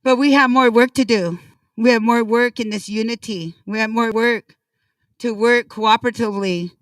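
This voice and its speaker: A woman speaks earnestly at a ceremony, repeating her words and pausing to find them. Her voice is smooth and clear but heard from a distance, with a dry sound. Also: fairly high pitch, steady tone, no echo. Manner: earnest, repetitive, halting. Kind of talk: ceremonial speech.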